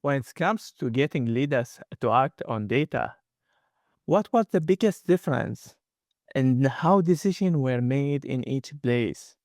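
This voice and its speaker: A man's voice: medium pitch, lively intonation, unhurried, a little mellow, no room sound, studio quality. A man hosting a fireside chat, reading prepared questions with some nonstandard grammar. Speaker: host.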